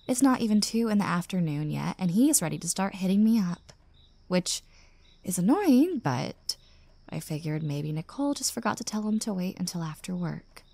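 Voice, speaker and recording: Lady acting as fed up young single woman in an audio roleplay, venting with sarcastic asides, lots of vocal fry, really dry sound. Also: fairly low pitch, animated, moderate pace, mellow, dry recording. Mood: exasperated. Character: exasperated single young woman rescued from a bad date. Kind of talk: roleplay audio drama, intimate conversational monologue.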